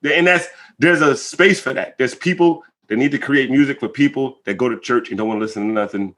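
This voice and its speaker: Male interview guest, American, mid-pitched and bright-edged. Earnest, emphatic, conversational delivery, checking in with the listener. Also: brisk. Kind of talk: reflective conversational interview answers.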